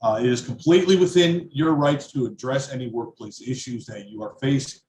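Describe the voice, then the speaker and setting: A man's voice: mid-range voice, brisk, darkish tone. A young man, a PhD student and union grievance chair, giving a firm prepared speech with some uh fillers. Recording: roomy with faint noise.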